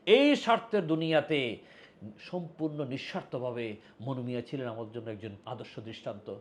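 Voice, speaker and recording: A man's voice: medium pitch, a little fast, a little hiss or hum. A man with a Bangladeshi accent giving a heartfelt, solemn tribute and appeal to viewers, emphatic and repeating phrases for weight. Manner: emphatic, solemn, with rhetorical repetition. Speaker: social volunteer addressing video viewers.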